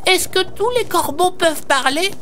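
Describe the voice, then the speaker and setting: A woman's voice: extremely high pitch, normal speed, fairly bright tone, a little hiss or hum. A woman voicing a curious, innocent young child in an audio drama, asking things simply and directly.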